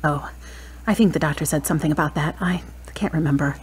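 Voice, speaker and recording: An actress playing a grieving adult daughter in an audio drama, talking tenderly and plaintively. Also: female voice, low voice, fast, a little hiss or hum, natural voice. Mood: worried. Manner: tender, plaintive, talking softly to a loved one. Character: grieving adult daughter at her mother's deathbed.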